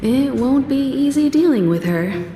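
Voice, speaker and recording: A self-assured woman adventurer, in a game cutscene. Her fairly high, animated voice is supportive and playful, with echo and clear music behind. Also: a little slow. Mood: reassuring. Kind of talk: video game cutscene acting. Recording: echoey hall, music behind.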